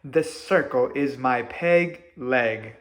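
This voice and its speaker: A man voicing an earnest peg-legged guide in a children's story, giving emphatic, drawn-out instructions. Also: mid-range voice, close to an everyday voice. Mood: earnest, instructive.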